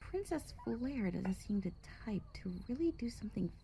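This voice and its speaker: Woman doing a young girl pony, playful n musing, highish soft-toned voice, bit of background noise. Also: expressive, moderate pace, vocal fry. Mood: musing, unsure. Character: sweet-toothed young female pony out trick-or-treating.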